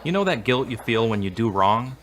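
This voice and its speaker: A man's voice: mid-range voice, lively intonation, brisk, a bit bright, close to an everyday voice. An actor playing an earnest, devout man in a radio drama, speaking plainly and sincerely. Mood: calm, friendly. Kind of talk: scripted radio-play dialogue.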